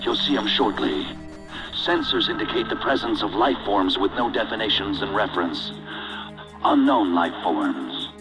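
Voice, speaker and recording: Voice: sits low, lively intonation, normal speed, music in the background, rough recording. A man playing an uneasy but professional aerial scan pilot in a sci-fi audio drama, talking in clipped procedural radio jargon. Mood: tense, procedural.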